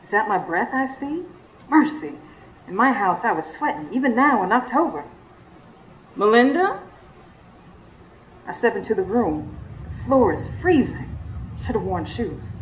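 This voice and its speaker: A woman's voice: a little high, very animated, measured pace, long pauses. Feisty Southern woman, roomy live sound. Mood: wary, bold. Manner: sassy, dramatic storytelling. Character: feisty, superstitious Southern woman confronting a witch. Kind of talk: live radio drama narration and acting. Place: studio before live audience. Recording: roomy live stage, faint noise.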